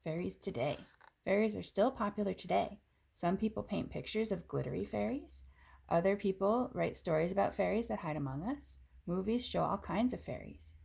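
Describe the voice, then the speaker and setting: A woman reading a children's picture book aloud in an expressive, clearly phrased way, with casual asides. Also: mid-range voice, normal speed.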